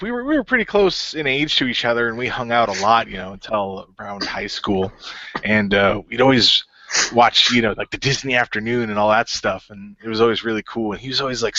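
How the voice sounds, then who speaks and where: Guy playing an easygoing hero in a radio play, rambles a bit, bright voice, dull dry recording. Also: mid-range voice, expressive, fairly quick, no echo. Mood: rambling. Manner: rambling, hesitant, circling back. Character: David, easygoing, hesitant young hero, mildly wry.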